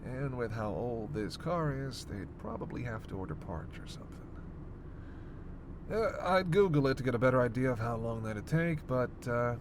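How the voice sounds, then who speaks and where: Over clear vehicle noise comes a snarky vampire captive , played by a man in a roleplay audio drama. His voice sits at an average male pitch. He needles and flirts with sardonic, sweary banter, sighing as he goes. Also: animated, moderate pace, close to an everyday voice. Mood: sardonic, grumbling. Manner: sardonic, flirtatious teasing with sighs and cursing. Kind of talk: roleplay audio drama, one-sided dialogue.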